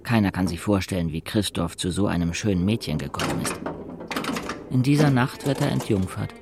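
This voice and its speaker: An actor playing a wry, detached first-person storyteller, delivering scripted literary narration in an audio drama with a gravelly voice. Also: male voice, deep voice, a little fast, heavy background noise. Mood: sober recounting.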